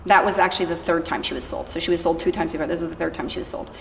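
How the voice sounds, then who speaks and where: Female interviewee, lowish voice, informal storyteller, distant roomy recording with faint noise. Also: fairly even intonation, racing, creaky, a bit bright. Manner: informal, acts out quoted dialogue. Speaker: interviewee, anti-trafficking worker. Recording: echoey room from far off, faint noise.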